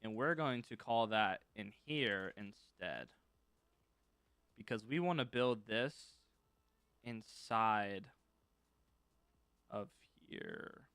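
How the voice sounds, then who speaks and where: A man's voice: lowish voice, animated, very slow, pauses often, fairly bright tone, clean tone, dry recording. A man casually teaching scripting on a live stream, thinking aloud as he works and chatting with viewers. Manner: thinks aloud while working, loose and informal, reads chat and answers.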